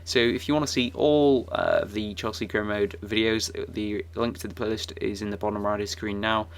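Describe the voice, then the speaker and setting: A young man giving casual, rambling commentary over his football game footage, his voice croaky and full of fillers and restarts. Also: lowish voice, fairly even intonation, brisk. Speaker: gaming YouTuber.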